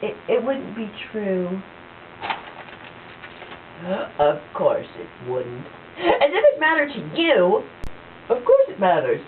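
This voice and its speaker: A woman voicing a fragile, tearful young wife in an amateur puppet show, her voice high and quavering. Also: big pitch swings, a little slow. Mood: anxious. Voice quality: high and quavering, breaking into sobs. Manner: pleading, overwrought amateur acting. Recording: tinny lo-fi home recording with hiss.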